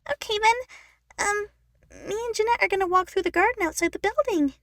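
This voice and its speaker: Tiny high chipmunk girl, shy n pleading, kinda stumbles over words, story reading. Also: a bit bright, no room sound. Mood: eager, awkward. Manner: hesitant, halting. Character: timid, pleading young chipmunk sister, eager and awkward. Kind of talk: fan fiction audio story acting.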